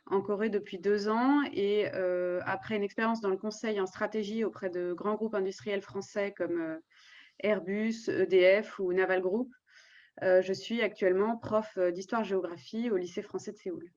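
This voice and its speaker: A woman's voice: medium pitch, steady tone, moderate pace, a little mellow. A woman in her thirties, a teacher, introducing herself hesitantly on a video call with frequent fillers. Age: 30s. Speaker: teacher and candidate. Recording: muffled lo-fi video call audio.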